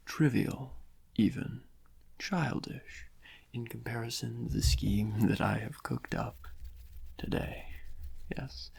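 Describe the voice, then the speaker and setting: A man playing a smug, theatrical criminal mastermind in a soft-spoken ASMR roleplay, breathy and husky, savouring his words with pauses. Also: mid-range voice, heavily acted. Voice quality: breathy, husky, often whispered. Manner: slow, savouring, gloating, with drawn-out pauses.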